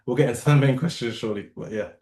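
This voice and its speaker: A male podcast host talks in a relaxed, loose way, wandering through his questions with fillers. His voice is of middle pitch. The sound carries a roomy echo. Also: fast. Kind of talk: casual interview hosting.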